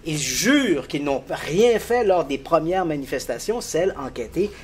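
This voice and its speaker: A man explaining a paranormal case in detail as a guest, lively and quick to laugh. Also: fairly high pitch, a little hiss or hum. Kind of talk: casual explanatory storytelling.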